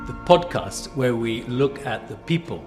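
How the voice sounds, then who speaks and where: A man's voice: medium pitch, lively intonation, a little slow, over music. A man hosting a podcast, interviewing a guest in a conversational style. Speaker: podcast host. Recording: roomy, echoing voice recording.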